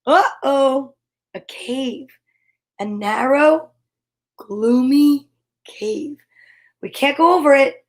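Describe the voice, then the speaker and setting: High-voiced woman reading slowly to children. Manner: sing-song repetition, dramatic exclamations, playful sound words. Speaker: host reading picture books aloud. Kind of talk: animated children's story read-aloud with casual asides.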